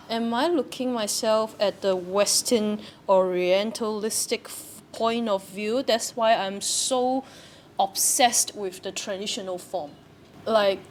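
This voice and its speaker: A young woman, a theatre practitioner, talking in a searching, questioning way and often repeating herself. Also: mid-range voice. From Hong Kong. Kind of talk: reflective conversation. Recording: faint background noise.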